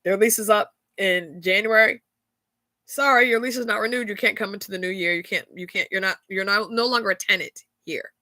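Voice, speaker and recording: Woman podcaster, stuffy nose, bright voice, dry close sound. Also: mid-range voice, fairly quick, no room sound. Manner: candid, chatty, frequent fillers and laughs. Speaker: podcast host. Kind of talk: casual faith-centered chat.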